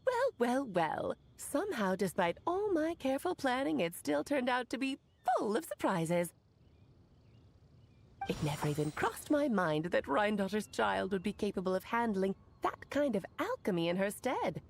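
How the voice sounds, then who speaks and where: A woman's voice: mid-range voice, sing-song, moderate pace, gravelly. A woman playing an elegant, playful, scheming witch in a video game, speaking in a theatrical, refined, teasing manner. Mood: amused, pleased. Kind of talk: video game character acting.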